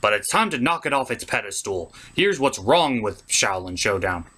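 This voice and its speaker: A man's voice: fairly low pitch, very animated, normal speed, pushed and bright, husky. A man hosting a scripted comedic cartoon review, theatrical and opinionated with crude turns of phrase. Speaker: cartoon reviewer, show host. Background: music bed.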